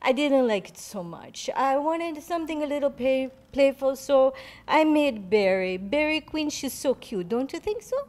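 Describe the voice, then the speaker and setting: A woman playing a playful Italian-French sister of a famous painter, speaking halting, broken English in a put-on accent for an improvised comedy interview. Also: high-pitched, lively intonation, a little mellow. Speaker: guest artist.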